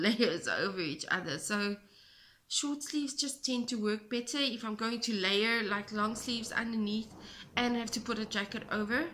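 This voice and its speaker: A woman's voice: on the high side, sharp tone, a little hiss or hum. A South African woman whose first language is Afrikaans, hosting a knitting podcast in a rambling, self-deprecating chat from home. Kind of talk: casual chat to camera. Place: home, indoors. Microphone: camera at a short distance.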